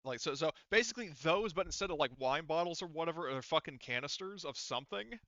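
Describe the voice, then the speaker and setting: Smooth-voiced, rambling male game master. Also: fairly high pitch, lively intonation, quick pace, a bit bright, dry recording, dull audio. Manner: rambling, informal, sweary. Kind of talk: casual, improvised tabletop game narration.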